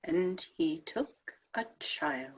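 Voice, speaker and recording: A woman playing a compassionate, questioning young saint from heaven in a play table read, with a wry, expressive delivery. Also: fairly low pitch, slow pace, slightly rough, lo-fi sound, exaggerated voice. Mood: doubtful, concerned.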